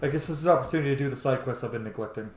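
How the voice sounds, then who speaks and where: A man's voice: medium pitch, racing, slight background noise. Man giving roomy-sounding casual game commentary. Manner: profane, informal. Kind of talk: casual, opinionated gameplay commentary. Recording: roomy echo, faint game music behind. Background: faint game music.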